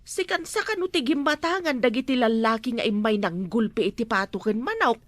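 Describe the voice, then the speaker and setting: A woman's voice: on the high side, expressive, fairly quick, dry recording. A woman playing a fussy village mother who scolds as she talks. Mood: scolding.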